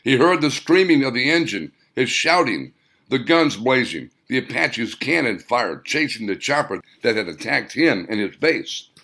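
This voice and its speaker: A man's voice: medium pitch, sing-song, normal speed, pushed and bright. A man reading his own novel aloud as scripted audiobook narration, with dramatic, emphatic phrasing.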